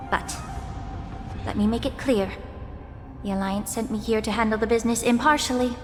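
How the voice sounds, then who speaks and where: A principled, guarded official in a fantasy game. Her voice sits fairly high, with formal, articulate and careful phrasing. It rings with long reverb over a music track. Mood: guarded, polite. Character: poised, principled woman healer-official, politely guarded and analytical. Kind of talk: video game voice acting.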